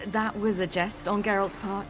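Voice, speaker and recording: A woman acts as a spirited young heroine with a mid-pitched voice. She sounds earnest, in fantasy game style, with clear music behind her. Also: little pitch movement, normal speed, natural voice. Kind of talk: video game cutscene acting.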